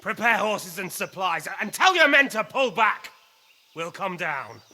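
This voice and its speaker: An actor playing a cunning, defiant villainous lord in a medieval video game, sardonic and taunting. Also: male voice, sits high, very animated, a little slow, bright, forceful tone, heavily acted. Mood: defiant, wary. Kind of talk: video game cutscene acting, medieval drama.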